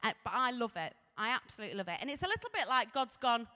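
A woman's voice: fairly high pitch, very animated, quick pace, bright, forceful tone. A woman vicar in her forties with a Sheffield accent, telling her own story to a young crowd in a chatty, self-mocking way on a stage, holding a microphone. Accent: Sheffield English. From Sheffield, England. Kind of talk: humorous personal testimony to a youth audience. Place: large hall stage. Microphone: handheld microphone. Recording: echoey hall.

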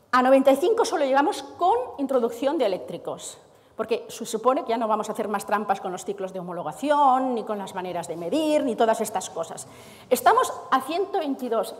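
Middle-aged woman giving a talk, high voice jumping all over, echoey hall. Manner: animated, gesturing while walking the stage. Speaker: speaker from the energy administration. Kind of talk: conference talk. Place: large hall with audience. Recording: reverberant hall.

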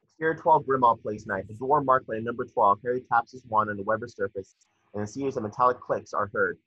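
A man's voice: mid-range voice, fast, bright, forceful tone, slightly rough, dry recording. A young man narrating scripted stage directions from a screenplay over a video call. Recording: dull, muffled video call audio.

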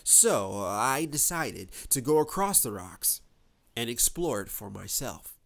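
A man voicing an old, polite, well-traveled female alley cat in a storybook, with a halting, hesitant delivery. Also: medium pitch, very animated, creaky, a bit bright, heavily acted. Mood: wistful, reminiscing.

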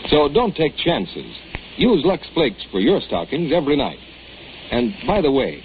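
A man announcing in a scripted 1940s style for sponsored radio. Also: medium pitch. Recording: tinny, lightly noisy 1940s radio recording.

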